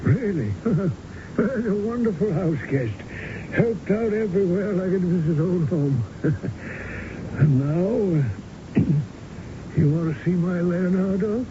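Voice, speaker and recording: A genial, sardonic old man from a vintage radio drama, with a fairly high voice and a hesitant, pompous delivery full of throat-clearing. The recording is muffled, with clear background noise. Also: lively intonation, takes it slowly, long pauses. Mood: genial, chuckling, then proud, dismissive. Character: ailing old American art-collecting husband, genial yet sardonic. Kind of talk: 1970s radio drama acting.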